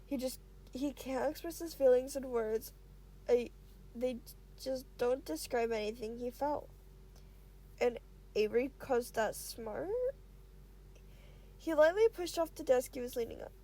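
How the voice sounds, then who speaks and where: High-pitched, mellow woman reading a story. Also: low-quality recording. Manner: halting, stumbles over words and self-corrects. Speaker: amateur fan fiction reader. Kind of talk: expressive storytelling narration.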